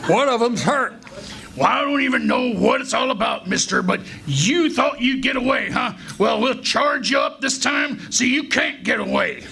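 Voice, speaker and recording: An actor playing a hot-headed, bullying leader of an armed village posse in an old-time radio play. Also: male voice, very high-pitched, lively intonation, normal speed, creaky, sharp tone, exaggerated voice. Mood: gruff, threatening. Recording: live stage radio play recording.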